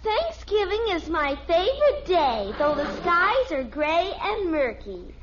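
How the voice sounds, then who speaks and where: A woman's voice: very high voice, big pitch swings, takes it slowly, a bit bright, exaggerated voice. A young female performer playing a stubborn American little girl, singsong and whiny, in an old-time radio sitcom. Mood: singsong reciting. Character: sulky, stubborn littlest daughter reciting poetry. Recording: muffled, noisy old radio recording.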